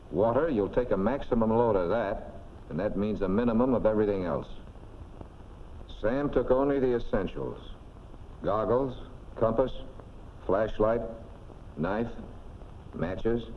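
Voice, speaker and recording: A man narrating a 1940s military training film in a folksy, clipped, instructive way. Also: fairly low pitch. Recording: dull, noisy 1940s film soundtrack.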